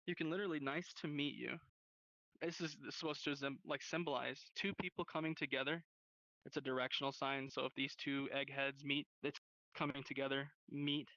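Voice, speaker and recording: A man's voice: mid-range voice, level tone, moderate pace, pushed and bright, no echo, dull audio. A man teaching an informal sign language class in a casual, rambling, slangy way over online voice chat. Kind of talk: informal lesson. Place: online virtual class hub.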